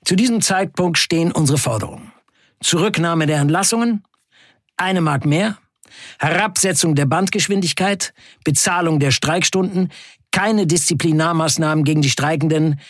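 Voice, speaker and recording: A man playing a defiant factory worker in an audio drama, narrating in the first person with emphatic, measured delivery and a husky voice. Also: fairly high pitch, no room sound, clean studio sound. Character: defiant male Turkish factory worker recounting a wildcat strike.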